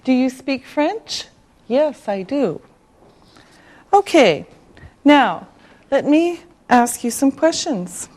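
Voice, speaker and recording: A woman teaching English to beginners, enunciating carefully and deliberately in a scripted lesson. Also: sits high, very animated, darkish tone.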